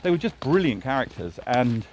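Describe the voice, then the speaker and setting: A man's voice: mid-range voice, very animated, normal speed, darkish tone, low-quality recording. A man, a television drama writer, talking in a casual, thoughtful way with many fillers and restarts. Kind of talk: casual conversation. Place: outdoors by a road. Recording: faint street noise with passing traffic.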